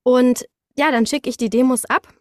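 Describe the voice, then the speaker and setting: High-voiced woman chatting in an interview. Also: normal speed, no room sound, studio quality. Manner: animated storyteller, acts out short quotes, laughs often. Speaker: voice actor, interview guest. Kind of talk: casual conversational interview.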